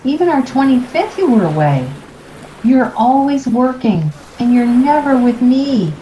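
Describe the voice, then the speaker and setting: A woman's voice: sits high, big pitch swings, unhurried, warm tone, roomy sound, slight background noise, low-quality recording. A woman playing a firm, sentimental middle-aged wife in a radio play. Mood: reproachful, insistent. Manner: reproachful, firm.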